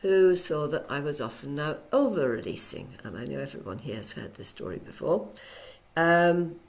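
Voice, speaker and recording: A woman with a low, croaky voice, hoarse after a cold. She teaches a workshop over a video call, chatting along with plenty of ums. She sounds middle-aged. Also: animated, normal speed. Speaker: Alexander Technique teacher.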